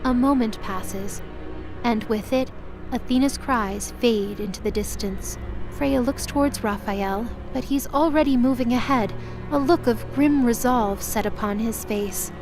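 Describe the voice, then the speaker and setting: A woman's voice: fairly high pitch, measured pace. A woman reading scripted, dramatic fiction as the narrator of an audio drama. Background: music.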